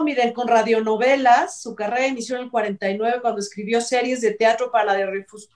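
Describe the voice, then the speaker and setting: A middle-aged woman with a Mexican accent co-hosting an entertainment talk show, chatty and opinionated, giving casual news commentary. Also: on the high side. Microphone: video call. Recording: distant mic on video call.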